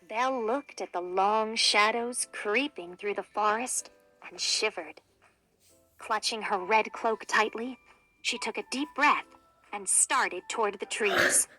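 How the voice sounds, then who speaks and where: A woman reading a children's storybook aloud in an expressive, deliberate, dramatic way. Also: mid-range voice, faint music behind, low-quality recording. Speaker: storybook reader.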